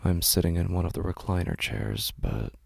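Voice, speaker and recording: A man giving scripted first-person horror narration in a measured, hushed, uneasy way. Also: sits low, big pitch swings, gravelly, husky, no room sound, studio quality. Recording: noisy ambient sound bed.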